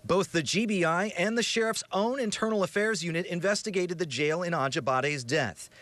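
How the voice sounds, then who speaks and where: A man's voice: sits high, normal speed, a bit bright, no room sound. An American man reading scripted investigative news as a television reporter, with a serious, emphatic broadcast delivery.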